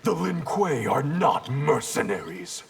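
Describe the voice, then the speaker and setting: An actor playing a proud, cold, disdainful ninja in a video game, stern and sardonic with a gravelly voice. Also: male voice, on the high side, very animated, takes it slowly, slight background noise, exaggerated voice. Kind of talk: video game fight banter.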